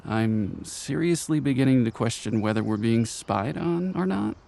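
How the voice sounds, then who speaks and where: An actor playing a middle-aged father in an emotional drama, tender and halting, sad about his grown son leaving. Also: medium pitch, lively intonation, creaky, dark tone. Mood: wry. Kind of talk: emotional audio drama dialogue.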